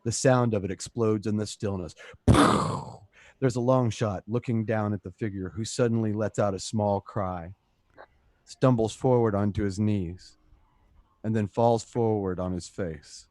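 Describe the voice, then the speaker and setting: A man's voice: on the low side, steady tone, measured pace, a little mellow, no echo. A man narrating a script's scene directions as the narrator of a radio play. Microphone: close home microphone.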